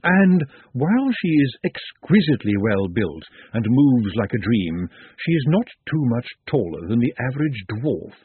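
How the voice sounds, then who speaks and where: A man's voice: mid-range voice, sing-song, a little slow, few pauses, no room sound. A man voicing a shrewd, grand female dwarf who runs fashion shows in a storybook, confiding and condescending. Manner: smooth-talking, confiding, condescending. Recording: muffled phone-like narrow band.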